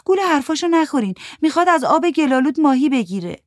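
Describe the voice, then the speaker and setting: A narrator playing a sly young woman in a storybook reading, her voice lifted high and pressing hard. She drags out her words with cold scorn and accuses without letting up. The sound is dry and close. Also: lively intonation, dry recording. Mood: scornful.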